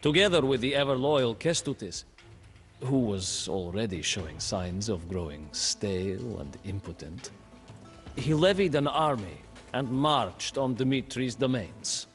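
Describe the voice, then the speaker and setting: An actor narrating a game campaign as a proud, ambitious young male prince, in slow, measured storybook narration. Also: mid-range voice, animated. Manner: slow, measured, brooding delivery. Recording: faint music behind.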